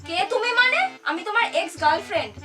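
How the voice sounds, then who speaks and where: A woman's voice: extremely high pitch, animated, fast talker, bright, forceful tone. A young woman with a West Bengal Bengali accent, talking in a dramatic, insistent, accusing way as on a prank phone call. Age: young adult. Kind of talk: animated prank phone calling, theatrical scolding. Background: background music.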